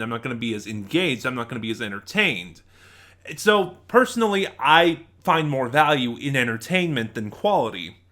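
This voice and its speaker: Bright, animated man reviewing to viewers. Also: mid-range voice. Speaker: YouTube audio drama reviewer. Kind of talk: unscripted opinionated review commentary to viewers.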